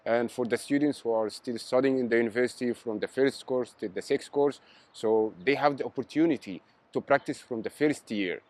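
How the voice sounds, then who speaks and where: A man's voice: medium pitch, a little fast, a little mellow. A man in his twenties from Egypt, an intern doctor answering interview questions outdoors, hesitant, filling with "you know, like". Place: outdoors in a park.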